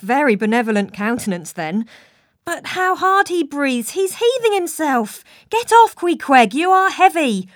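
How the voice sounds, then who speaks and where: A woman's voice: high voice, sing-song, a little slow, no room sound, voice pushed up. An educated young sailor man, voiced by a woman audiobook reader. He speaks formally and at length, with a prim, exasperated air. The recording is dry and close. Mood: alarmed, exasperated. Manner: wordy, formal, indignant.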